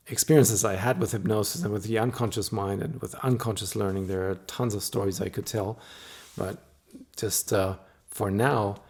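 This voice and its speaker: A middle-aged man whose first language is German, a hypnotist talking to camera in a calm, explanatory and softly persuasive way. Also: lowish voice, creaky, breathy edge. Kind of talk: solo talk to camera.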